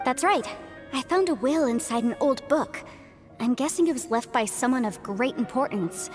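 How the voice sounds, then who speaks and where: A woman's voice: high voice, expressive, normal speed, soft background music. A woman playing a polite, articulate young female legal advisor in an English video game dub, cheerfully businesslike. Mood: polite, explanatory. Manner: articulate, explanatory.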